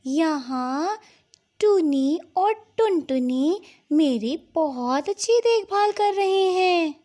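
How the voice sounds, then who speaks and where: A woman's voice: very high voice, mellow, exaggerated voice. A woman voicing an affectionate, protective mother bird in a Hindi cartoon story, with a fussing, motherly manner.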